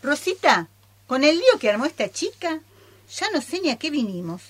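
A woman's voice: high-pitched, big pitch swings, moderate pace. A woman playing a forgetful, muddled and fussy old lady customer in a comic radio play. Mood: confused, insistent. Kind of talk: comic radio theatre acting.

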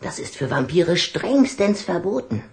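An actress playing a small boy vampire in a children's radio play, urgent and bossy, with a slightly creaky voice. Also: lowish voice, big pitch swings, a little slow, darkish tone, exaggerated voice. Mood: grave, indignant. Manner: dramatic, urgent.

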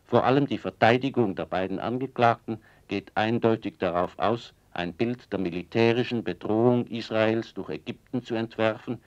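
An actor playing a radio reporter giving a measured, formal 1960s courtroom report in a radio drama. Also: male voice, lowish voice, lo-fi sound, natural voice.

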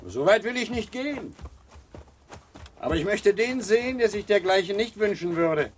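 Army major, forties, radio play: urbane, flirtatious, gallant, with insinuating charm. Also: male voice, high-pitched, expressive, moderate pace, a little hiss or hum. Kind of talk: German literary radio drama acting.

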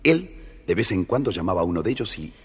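Narrador argentino grave, voz muy ronca. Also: male voice, low-pitched, big pitch swings, fast, breathy edge. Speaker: storyteller, narrator. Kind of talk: scripted children's story narration. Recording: reverberant studio, music behind.

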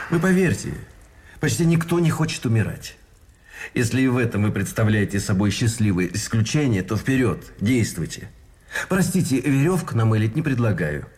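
An actor playing a wry, bitter lieutenant facing execution in a Russian radio drama, sardonic and indignant. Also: male voice, lowish voice, a little mellow. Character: wry, bitter young lieutenant, a condemned prisoner with a conscience. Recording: studio radio play with faint music behind.

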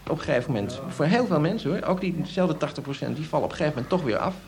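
A man's voice: a little high, lively intonation, racing, crowd noise. A man giving informal, explanatory advice in a radio discussion, hesitantly searching for his words. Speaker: nutrition expert answering audience questions.

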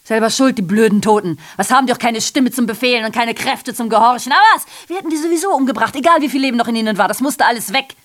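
A woman's voice: fairly high pitch, fast talker, hardly any pauses, natural voice. A woman in a radio drama playing a sardonic messenger who reports on war and ruin with rhetorical questions and ironic asides. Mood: bitter, defiant. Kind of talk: literary radio drama monologue.

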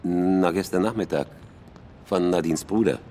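An actor playing a smooth-talking, self-important club president and mayor, formal and politely probing, in a radio drama. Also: male voice, low voice, moderate pace, dark tone, a little hiss or hum, close to an everyday voice. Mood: guarded, diplomatic. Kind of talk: German radio drama acting.

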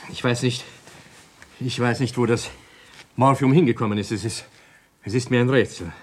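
An actor playing an agitated, defensive doctor in a radio play, pleading and halting as a police suspect. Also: male voice, medium pitch, slightly rough, close to an everyday voice. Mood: rattled, subdued. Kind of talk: German radio play acting.

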